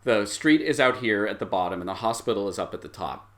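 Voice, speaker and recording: A man working as game master, improvising vivid scene narration with frequent halting pauses. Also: on the low side, expressive, fairly bright tone.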